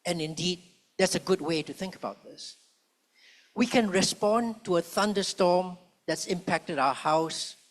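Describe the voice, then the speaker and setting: Male professor, panel speaker. Slightly high voice, measured and rhetorical, slows to stress points. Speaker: panellist, public policy professor. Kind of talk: panel talk. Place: conference hall.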